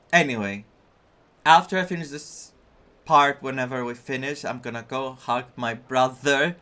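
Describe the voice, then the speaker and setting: A video game streamer giving casual, personal commentary in a halting, reflective and candid way. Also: medium pitch, sharp tone. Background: faint game music.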